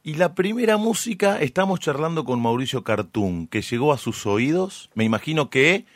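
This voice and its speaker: A man's voice: fairly high pitch, big pitch swings, normal speed, no echo. A man with an Argentine accent conducting a conversational interview, addressing his guest formally and courteously.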